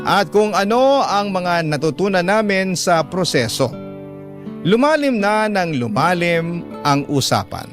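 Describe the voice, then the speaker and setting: A man hosting a radio drama, reading a love story as scripted, sentimental narration in measured phrases. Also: high-pitched, big pitch swings. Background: guitar music.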